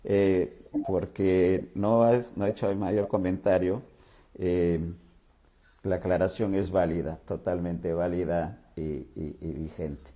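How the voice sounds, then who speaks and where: A man's voice: deep voice, little pitch movement, slow, mellow. A man hosting an interview, courteous, with frequent fillers and restarts. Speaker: talk show host.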